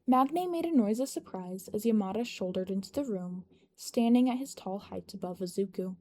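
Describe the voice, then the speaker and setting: A woman narrates scripted fiction as a podfic reader. Her voice is relaxed and mid-pitched. There is some light noise in the background. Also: normal speed.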